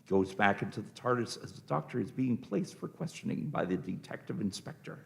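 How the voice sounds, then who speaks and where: A man's voice: on the low side, animated, brisk, creaky. A man reading scripted narration for a radio play, setting each scene as the narrator.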